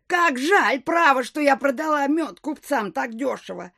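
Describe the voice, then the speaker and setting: A woman's voice: high voice, lively intonation, creaky, bright, forceful tone, dry recording, studio quality, exaggerated voice. A woman acting an elderly, simple, fretful widow landowner in an audio drama, with a folksy, plaintive manner. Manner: folksy, plaintive, slow-witted.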